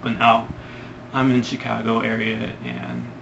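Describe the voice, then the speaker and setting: Young guy from Ohio doing a casual Q&A, rambling with ums; gravelly voice on a muffled, hissy recording. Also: medium pitch, monotone, unhurried. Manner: hesitant, rambling with many ums. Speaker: vlogger answering questions. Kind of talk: casual Q&A vlog. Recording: lo-fi, noisy home recording.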